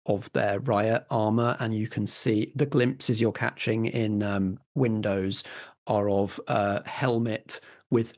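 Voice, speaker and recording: A man's voice: fairly low pitch, steady tone, slow, darkish tone. A man narrating scenes and giving rulings as the game master of a tabletop role-playing game.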